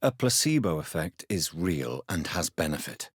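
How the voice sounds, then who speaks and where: A man playing a grave, educated gentleman doctor and vampire in a video game, speaking formally and precisely, courteous but stern, in a husky low voice. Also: sing-song, normal speed, no echo, studio quality, exaggerated voice. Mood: grave, firm. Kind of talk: scripted video game character dialogue.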